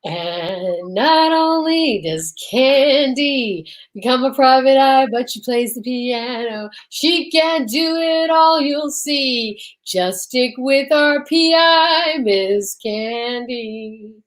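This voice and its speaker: An arch announcer for an old-time radio mystery, played by a woman. She speaks in playful, singsong rhymes on the higher side of a female range. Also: slow pace, hardly any pauses. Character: arch, rhyming announcer mocking and touting the heroine.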